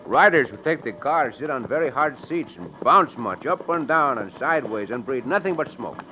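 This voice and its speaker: An old, muffled radio western with some outdoor noise. a spirited Mexican cowboy hero, animated and courtly in his accented English. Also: medium pitch, normal speed. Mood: amused. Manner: courtly, slightly formal English.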